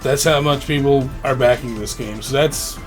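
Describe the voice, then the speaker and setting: A man's voice: medium pitch, moderate pace, soft background music. A man co-hosting a podcast, talking in an informal, slangy way as casual banter. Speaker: podcast co-host. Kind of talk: casual banter about gaming news.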